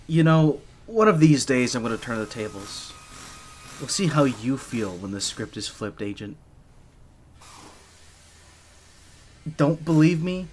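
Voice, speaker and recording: A man's voice: medium pitch, slight background noise, natural voice. A man playing a shy, easily flustered gadget maker for a secret agent in an audio roleplay, speaking nervously and stammering. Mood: cocky, challenging. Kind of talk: scripted ASMR roleplay, one side of a dialogue.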